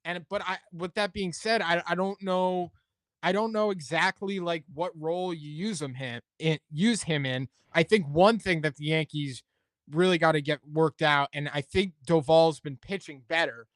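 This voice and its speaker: A man's voice: fairly high pitch, moderate pace, a bit bright, dry recording. A man hosting a sports talk show, chatting casually and thinking aloud as he weighs his opinions. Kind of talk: casual sports commentary chat.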